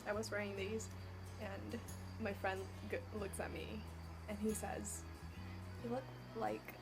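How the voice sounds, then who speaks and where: A woman's voice: mid-range voice, little pitch movement, unhurried, rough recording. A young woman from Puerto Rico answering viewer questions in a casual vlog, hesitant and full of ums and likes. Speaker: vlogger. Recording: music playing behind.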